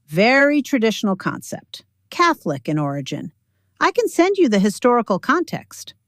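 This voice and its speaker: A woman voicing an unflappable older mother and teacher, deadpan, precise and matter-of-fact, in an audio story. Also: on the high side, moderate pace, no echo, clean studio sound, voice pushed up.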